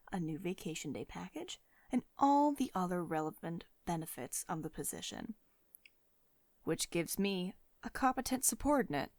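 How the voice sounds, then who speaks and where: A woman playing a commanding, possessive billionaire CEO in an intimate ASMR roleplay, speaking in a measured, condescending, coaxing way to one listener. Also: lowish voice, darkish tone, no room sound. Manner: measured, condescending pet names, drops into breathy whispers.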